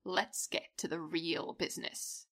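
A woman playing a brash, gleeful young alien troll girl with a creaky voice in a fan fiction audio drama. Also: on the low side, level tone, unhurried, a bit bright. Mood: gleeful challenge.

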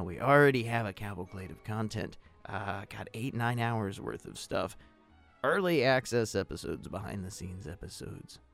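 A man's voice: lowish voice, gravelly. A man hosting a podcast, reading an announcement in a theatrical, dry, tongue-in-cheek way. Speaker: podcast host.

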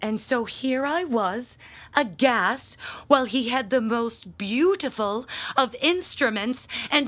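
A woman's voice: high voice, a bit bright, full character voice. A woman acting in an audio drama as an aggrieved, pompous church handbell ringer, speaking in a theatrical, indignant manner. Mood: disgusted, aggrieved. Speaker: parishioner in confession.

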